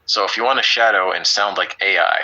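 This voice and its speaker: Deep-voiced upbeat male English teacher. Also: brisk, bright, forceful tone, muffled recording. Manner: upbeat, teasing. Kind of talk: online class.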